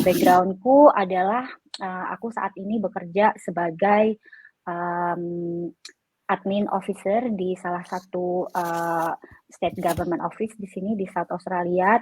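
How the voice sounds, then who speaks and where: A woman's voice: fairly low pitch, darkish tone, slightly rough, no echo, rough recording. A woman guest introducing herself on an online panel, hesitant, with many fillers.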